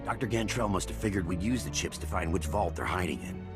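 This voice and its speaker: An actor playing a helpful prison communications officer, briefing urgently in a video game. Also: male voice, sits low, fast, natural voice. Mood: earnest briefing. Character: helpful male prison comms officer ally. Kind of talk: scripted video game acting. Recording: music behind the voice.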